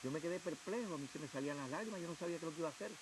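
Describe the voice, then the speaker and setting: Fast-talking Cuban man telling his story. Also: a little high, lively intonation. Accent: Cuban Spanish. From Cuba. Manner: emotional storytelling, acting out quoted officials. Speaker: guest. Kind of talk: interview, personal testimony. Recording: hissy TV broadcast audio.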